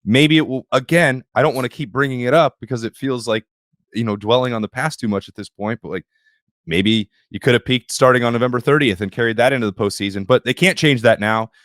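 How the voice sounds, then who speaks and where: Slightly hoarse man hosting a sports podcast. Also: mid-range voice, fairly quick, fairly bright tone, no echo, clean studio sound. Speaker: podcast host.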